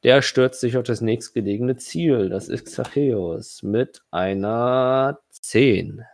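A man's voice: mid-range voice, slow pace, a little mellow, no room sound. A man running a tabletop role-playing game as game master, narrating off the cuff and thinking aloud.

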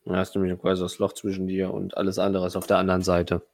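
A man's voice: deep voice, monotone, fast talker, creaky, darkish tone, no room sound, studio quality. A man narrating tabletop combat as game master, hesitant and often correcting himself.

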